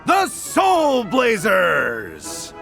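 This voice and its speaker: A man voicing a formal, upbeat race referee in a video game, announcing in a measured, drawn-out way. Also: high-pitched, wildly swooping pitch, gravelly, a bit bright, faint music behind, heavily acted. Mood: rousing. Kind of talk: ceremonial event announcing, scripted game dialogue.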